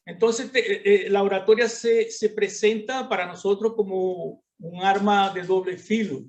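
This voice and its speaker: A man with a Portuguese-influenced accent giving an academic lecture over a video call, halting and full of filler sounds. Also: high voice. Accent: Portuguese-influenced Spanish. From Brazil.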